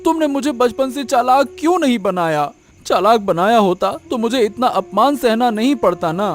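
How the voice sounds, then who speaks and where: Guy doing honest simple veggie seller in a cartoon, high voice, pleading and wounded. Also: animated, moderate pace. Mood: hurt, pleading. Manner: earnest, plaintive, repeats himself. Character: naive, honest male village vegetable seller, hurt and pleading. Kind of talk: Hindi cartoon moral story acting.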